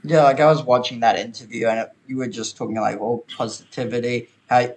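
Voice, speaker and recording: A man interviewing someone in a casual chat, with halting, loosely phrased speech. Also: mid-range voice, fairly even intonation, darkish tone.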